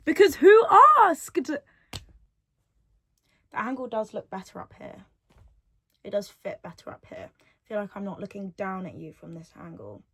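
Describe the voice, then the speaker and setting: 18 y/o girl yapping on her own podcast at home, no real mic, just the built-in one, rambly and repeats herself, normal middle pitch. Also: sing-song, unhurried, long pauses, breathy edge. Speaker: podcast host. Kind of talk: solo podcast monologue. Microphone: device mic, no podcast microphone.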